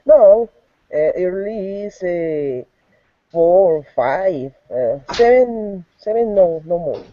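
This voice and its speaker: A man from Colombia speaking in halting, hesitant beginner English as a student in an online class. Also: high-pitched, expressive, dry recording. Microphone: video call. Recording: dry, lo-fi video call line.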